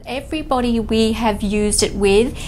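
A woman's voice: fairly high pitch, normal speed, a little mellow, a little hiss or hum. A woman researcher giving explanatory interview answers in a small room. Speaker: medical researcher.

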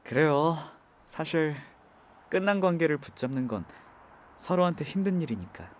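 Male actor as a young driver, gentle and reassuring, light voice with a lot of melody. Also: a little high, big pitch swings, moderate pace, slight background noise. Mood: gentle, comforting. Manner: soft, gentle, comforting. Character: young man driving, kind and soft-spoken. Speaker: voice actor in an audio drama. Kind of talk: ASMR audio drama acting.

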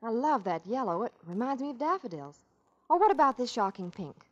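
An actress playing a self-critical, fretful shopper in a 1940s radio commercial. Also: female voice, a little high, very animated, fairly quick. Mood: chatty. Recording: muffled 1940s radio recording.

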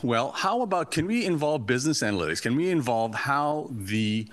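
A Canadian-raised man whose first language is Portuguese, giving a lively, joking academic talk in a lecture hall. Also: mid-range voice, normal speed. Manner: lively, chatty, joking asides. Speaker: invited conference speaker.

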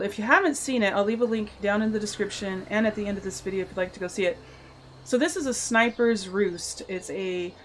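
A slightly hoarse woman at a mid-range pitch talks a viewer through a build, her voice catching a gravelly fry at phrase ends. She explains step by step in a friendly, casual way, now and then clearing her throat. A faint hiss sits behind the voice-over. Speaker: game channel host. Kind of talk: casual tutorial narration over gameplay.

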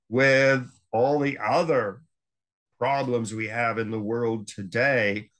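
Slow, deliberate older male lecturer. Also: medium pitch. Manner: unhurried, emphatic with long pauses. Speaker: library lecturer. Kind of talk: slide lecture on world affairs.

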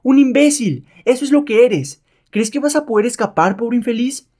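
Man acting a hot-tempered mistress, raised shrill pitch, commanding, haughty, comic-book drama. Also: lively intonation, normal speed, a little mellow. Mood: defiant. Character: imperious, hot-tempered Japanese widow, haughty slave mistress.

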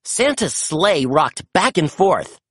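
A storybook narrator reading aloud to children in an expressive, animated way with dramatic emphasis. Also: medium pitch, slow, slightly rough, no room sound, studio quality.

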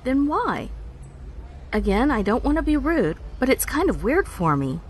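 A woman's voice: high-pitched, very animated, unhurried, slight background noise. A woman playing a friendly, skeptical park ranger in a naturalistic audio drama, casual and politely inquisitive. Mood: curious, skeptical.

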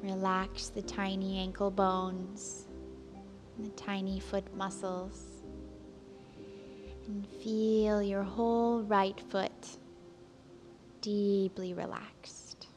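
A woman instructor leading a guided relaxation, speaking calmly and gently, with long pauses between soothing instructions. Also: mid-range voice. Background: soft background music.

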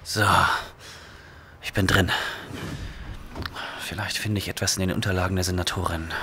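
An actor playing a cool, casual space commander in a retro sci-fi radio drama, talking in a wry, chummy way. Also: male voice, deep voice, fairly even intonation, moderate pace, a bit bright, a little hiss or hum. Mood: hurried, focused.

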